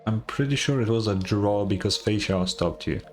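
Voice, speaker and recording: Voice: low-pitched, moderate pace. A streamer giving casual, slangy reaction commentary on a game, in a laid-back manner. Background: game music.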